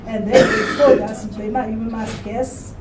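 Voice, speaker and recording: A middle-aged woman, earnestly telling of her school days in a halting way. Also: fairly high pitch, big pitch swings, distant mic. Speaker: class participant. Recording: echoey room from afar, noisy.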